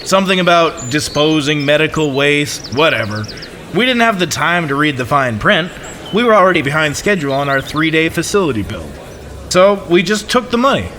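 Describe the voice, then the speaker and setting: A man's voice: on the high side, pushed and bright, a little hiss or hum. A man playing an overeager, evasive huckster bluffing his way through a comedy sketch. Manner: hesitant, evasive salesman patter. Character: shifty, overeager male charity huckster bluffing an inspector. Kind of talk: sketch comedy audio drama.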